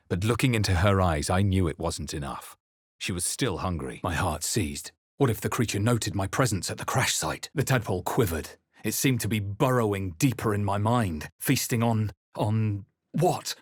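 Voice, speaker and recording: A man playing a grave fantasy game adventurer, with solemn, measured, dramatic delivery as he narrates his journey. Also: fairly low pitch, slightly rough, no room sound, clean studio sound. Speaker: video game player-character voice.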